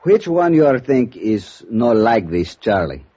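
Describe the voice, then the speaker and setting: An actor playing an eager, polite Mexican police captain in 1950s radio drama, speaking halting broken English with Spanish mixed in, in a Mexican accent. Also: male voice, mid-range voice, big pitch swings, mellow, breathy edge. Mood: polite, puzzling. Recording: muffled vintage 1950s radio recording.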